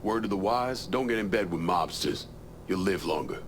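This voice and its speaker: A terse, hard-edged hero speaking in short lines. His voice is low and steady. He never says more than he needs to. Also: moderate pace, a little hiss or hum. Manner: curt, clipped, tough. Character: terse tough male protagonist, a war veteran.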